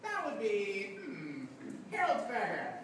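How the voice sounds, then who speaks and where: A puppeteer voicing a gloating, chatty fiend from hell in a live puppet show, with hesitant, drawn-out delivery. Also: medium pitch, huge pitch swings. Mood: sly. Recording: echoey room, noisy, distant mic.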